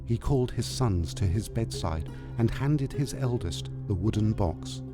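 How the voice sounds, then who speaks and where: A man narrating a scripted tale as a storyteller, with measured, dramatic delivery. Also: on the low side, expressive. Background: music.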